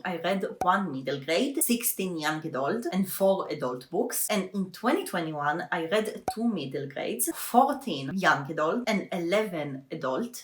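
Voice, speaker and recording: A woman's voice: on the low side, roomy sound. A young woman from Israel with an Israeli accent, a native Hebrew speaker, talking casually to camera as a book vlogger and thinking aloud in an earnest way.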